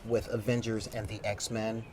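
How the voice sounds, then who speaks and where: A man talking casually on a fan panel, opinionated and lively, acting out quotes as he argues his points. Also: on the low side, measured pace. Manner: opinionated, animated, acts out quotes. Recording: convention panel with crowd noise.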